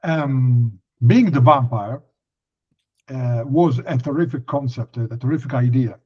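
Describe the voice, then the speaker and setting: Male interviewer on a muffled, lo-fi recording, mid-range voice, measured and pausing as he builds questions. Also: medium pitch, slightly rough.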